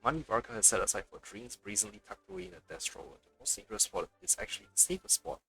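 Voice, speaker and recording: A man's voice: on the high side, big pitch swings, fast talker, vocal fry, sharp tone, poor audio. A man doing a live game playthrough, reading dialogue aloud and adding casual offhand comments. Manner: casual offhand remarks mixed with read-out dialogue. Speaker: game streamer.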